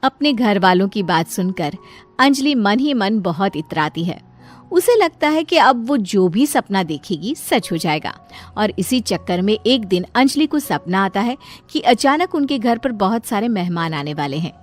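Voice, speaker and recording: A woman's voice: mid-range voice, very animated, a little slow. A woman reading scripted narration for a cartoon story as its narrator. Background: background music.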